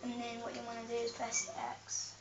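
Young girl slowly walking you through a game, lots of ums, highish voice, far off in an echoey room, dull noisy audio. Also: monotone. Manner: hesitant, lots of ums and self-corrections. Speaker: gameplay tutorial host. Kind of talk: casual game tutorial. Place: room with a TV, off camera. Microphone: camera filming the TV screen.

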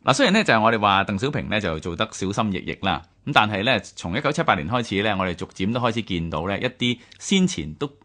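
A man's voice: on the low side, very animated, fast, few pauses, bright, forceful tone. A man with a Hong Kong Cantonese accent co-hosting a radio history programme, explaining points step by step in conversation.

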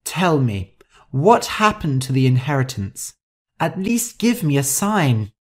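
A woman's voice: sits low, very animated, takes it slowly, darkish tone, husky, exaggerated voice. A woman voicing a haughty, vain, hot-tempered older heiress in an audiobook story, with an imperious, theatrical manner. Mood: annoyed, demanding.

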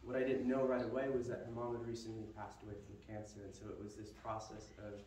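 A young man giving a halting, earnest ministry update in a large church sanctuary, heard from a distant camera with no microphone. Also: lowish voice, completely flat delivery, mellow. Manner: earnest, slow and halting with fillers. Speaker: missionary guest speaker. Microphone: far camera, no microphone. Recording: echoey hall from far off, background hiss.

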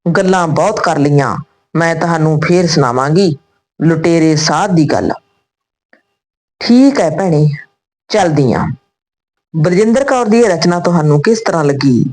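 A woman reading a scripted story and its dialogue in a deliberate, phrase-by-phrase manner. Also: sits low, expressive, a little mellow, poor audio.

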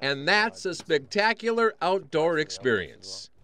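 A man's voice: a little high, wildly swooping pitch, measured pace. A man reading a polished, upbeat promotional voice-over. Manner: upbeat, polished sales delivery. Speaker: promotional narrator. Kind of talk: scripted voice-over narration. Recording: voice-over over loud music.

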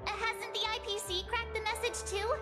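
A woman playing an excitable, girlish teenage companion in a video game, chatty and animated. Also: extremely high pitch, normal speed. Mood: doubtful, chirpy. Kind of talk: scripted game dialogue. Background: game soundtrack music.

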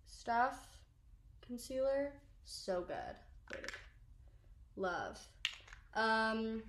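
A woman's voice: on the high side, echoey room, a little hiss or hum. A young woman rambling casually to the camera about her favorite makeup, with lots of "like" fillers and self-deprecating asides. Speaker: social media creator. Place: small bedroom, indoors.

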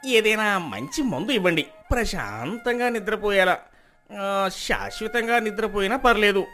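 A man acts out a crafty, miserly village husband from a storybook. His voice is fairly high and swoops with theatrical, emphatic false devotion. Faint music plays underneath. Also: lively intonation, normal speed, pushed and bright. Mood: nervous scheming.

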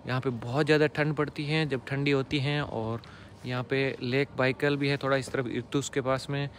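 Young indian guy chatting to his phone on a train platform at night, faint station noise behind, arm's length. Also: mid-range voice. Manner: chatty, addresses viewers directly, drops to a hush near sleeping passengers. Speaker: travel vlogger. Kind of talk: casual travel vlog to camera. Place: outdoor railway platform at night. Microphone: phone held at arm's length.

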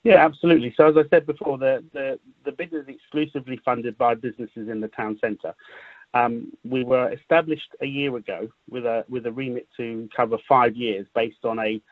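A man giving a semi-formal spoken update as a business representative on a conference call, measured and halting, often repeating words. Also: mid-range voice, fairly even intonation, no room sound. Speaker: business improvement district representative. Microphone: phone or conference call. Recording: muffled narrow-band conference call line.

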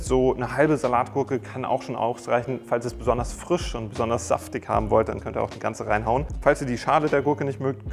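A young man talking through a recipe in an easygoing, chatty way, dropping small jokes as he cooks. His voice sits at an ordinary middle pitch, close and clean on a lapel mic in a bright home kitchen, with faint music underneath. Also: creaky. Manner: friendly, chatty, explains step by step with small jokes. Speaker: cooking channel host. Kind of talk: casual cooking tutorial.

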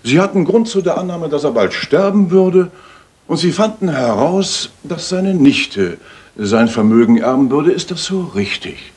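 An actor playing a shrewd, self-assured Victorian master detective in a radio play, speaking in a probing, precise way. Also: male voice, fairly high pitch, lively intonation, unhurried, darkish tone, husky.

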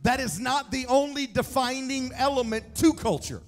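A man, preaching an energetic sermon with a handheld mic on a large church stage, emphatic and shouting key points. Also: very high-pitched, normal speed, faint music behind. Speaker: pastor. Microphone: handheld microphone. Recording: echoey hall, mic set back.

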